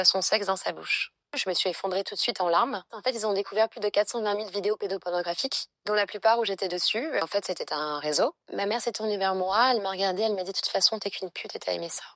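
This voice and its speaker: A woman from Tunisia giving a personal testimony in a documentary clip, speaking in a measured, halting way. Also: mid-range voice, fairly bright tone, no echo. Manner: measured, halting with many hesitations.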